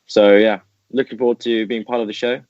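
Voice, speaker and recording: A man from England with a lowish voice, co-hosting a casual podcast in a relaxed, easygoing way. The sound is dry and close, and the audio is dull and lo-fi. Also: a little fast, no echo. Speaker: podcast co-host.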